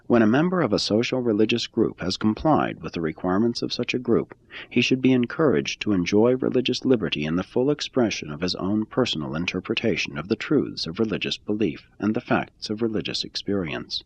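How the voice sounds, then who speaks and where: A man with a very low, husky voice reads a religious text aloud, his pitch rising and falling in lively arcs. The sound is dry and a little muffled. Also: brisk, no room sound. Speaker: reader. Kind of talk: scripted reading of a religious text.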